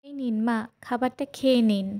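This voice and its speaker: A woman's voice: on the high side, moderate pace, dark tone, close to an everyday voice. A woman playing a worried, dutiful village wife in a cartoon, plaintive and pleading. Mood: respectful, troubled. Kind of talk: cartoon voice acting.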